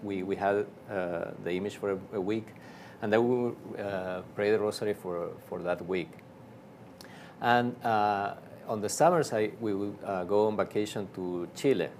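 Argentine priest guest, lower voice, halting with lots of "uh" and restarts, faint background hum. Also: male voice, a little mellow. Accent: Argentine Spanish. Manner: halting, many fillers and restarts. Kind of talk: casual interview storytelling.

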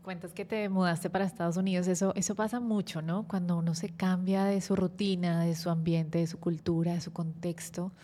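A woman's voice: lowish voice, little pitch movement, brisk, hardly any pauses, mellow. A woman hosting an interview, asking questions and musing reflectively between them. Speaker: host. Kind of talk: conversational interview.

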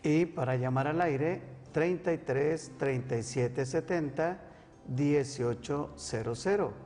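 A man co-hosting a religious call-in show, calmly reading out phone numbers and a psalm. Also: fairly high pitch, takes it slowly, in a large hall. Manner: calm, recites scripture. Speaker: co-host. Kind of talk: live call-in broadcast with prayer.

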